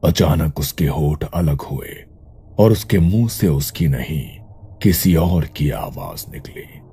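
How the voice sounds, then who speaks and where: Very deep, slow man narrating horror. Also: lively intonation, dark tone, husky. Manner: suspenseful. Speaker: story narrator. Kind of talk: scripted dramatic horror narration. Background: music.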